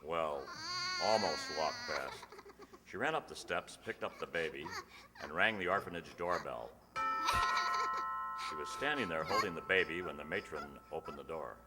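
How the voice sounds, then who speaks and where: Very deep-voiced male radio announcer. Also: normal speed, pauses often, over loud music. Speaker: radio show announcer and narrator. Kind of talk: old-time radio announcing, scripted narration. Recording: reverberant hall stage recording.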